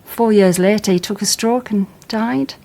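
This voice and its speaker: A woman's voice: medium pitch, moderate pace, gravelly, mellow, natural voice. An actress playing a lonely, wary woman who speaks wistfully and anxiously. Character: wary, lonely middle-aged daughter.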